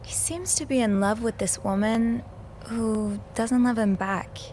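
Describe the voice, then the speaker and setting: A woman's voice: fairly high pitch, a little slow, a little mellow, slight background noise, natural voice. Woman playing an anxious, earnest young hotel maid. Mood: earnest, hushed. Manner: hesitant, earnest. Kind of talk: video game voice acting.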